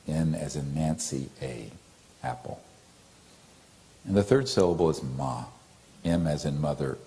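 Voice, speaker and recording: A man gently and calmly leading a guided meditation as a teacher, in a soothing way. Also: extremely low pitch.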